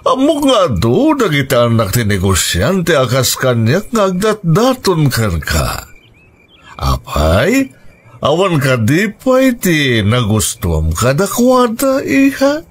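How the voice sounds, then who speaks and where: An actor playing a wealthy middle-aged businessman father in an Ilocano radio drama, with a Filipino Ilocano accent and a theatrical, drawn-out delivery. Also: a little high, wildly swooping pitch, slightly rough, exaggerated voice. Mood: teasing, probing.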